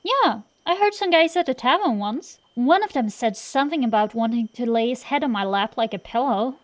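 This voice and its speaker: Woman playing a high-voiced, cocky tomboy dragon girl. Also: animated, moderate pace, lightly acted. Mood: bantering. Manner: sassy, slangy, defensive, blustering. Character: cocky tsundere tomboy dragon-girl sorceress, young gambler. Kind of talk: acted roleplay ASMR, bantering monologue to the listener.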